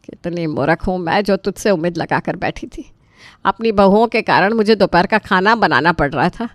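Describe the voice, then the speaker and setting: A woman's voice: lowish voice, normal speed, dry recording, natural voice. A woman voicing an exasperated, put-upon middle-aged mother-in-law in a story, complaining dramatically. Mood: aggrieved.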